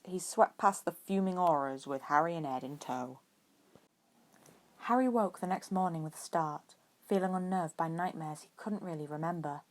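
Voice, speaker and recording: A woman narrating a story from a script in an expressive way, as an amateur audiobook reader. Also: lowish voice. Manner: acts out dialogue with expression.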